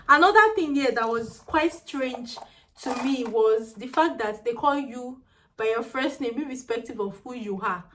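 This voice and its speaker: A woman's voice: on the high side, expressive, unhurried, fairly bright tone, poor audio. A young woman in her twenties with a Nigerian accent and a small, tiny-sounding voice, chatting animatedly in a casual vlog and slipping in pidgin phrases. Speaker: vlogger.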